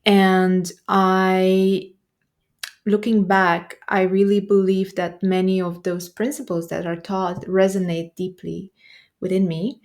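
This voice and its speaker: Romanian woman coach on a podcast, mid pitch, talks slow and thoughtful with little pauses. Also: flat delivery, a little mellow. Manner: reflective, hesitant, thoughtful. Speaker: nervous system coach. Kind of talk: podcast interview guest.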